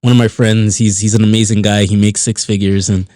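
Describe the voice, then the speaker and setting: A man's voice: fairly low pitch, little pitch movement, brisk, a little mellow, no room sound, studio quality. A man in his late twenties hosting a podcast, bantering and teasing his co-host in casual chat. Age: 20s.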